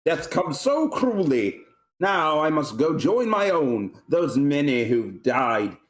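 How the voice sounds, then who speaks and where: Man acting a slow, pompous, self-pitying fallen lord. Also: a little high, very animated. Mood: mournful, grandiose. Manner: pompous, declamatory, lofty diction. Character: grandiose self-pitying fallen male lord, theatrically dying. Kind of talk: amateur script table read.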